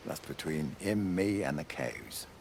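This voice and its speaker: An actor playing a gruff, plain-spoken middle-aged countryman in a video game drama, blunt and colloquial. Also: very deep voice, lively intonation, measured pace, a little hiss or hum, exaggerated voice. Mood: dry, guarded. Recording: music under the voice.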